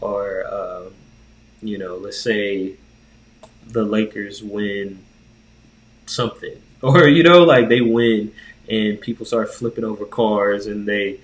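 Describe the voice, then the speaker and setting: A man co-hosting a podcast in casual conversation, rambling and halting as he thinks aloud in slang. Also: fairly low pitch, fairly even intonation. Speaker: podcast co-host. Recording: roomy, echoey sound.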